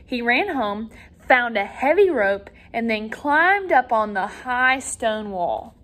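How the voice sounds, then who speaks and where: Animated, high-voiced woman reading a storybook. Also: slow. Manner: expressive, dramatic emphasis for young listeners. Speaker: teacher reading a story aloud to children. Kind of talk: animated storybook read-aloud.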